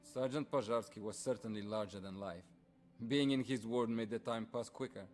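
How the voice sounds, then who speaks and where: An actor playing a brooding, disillusioned Soviet soldier turned war writer in a dramatic video game cutscene. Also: male voice, on the low side, moderate pace, soft background music, close to an everyday voice. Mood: reflective. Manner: brooding, reflective.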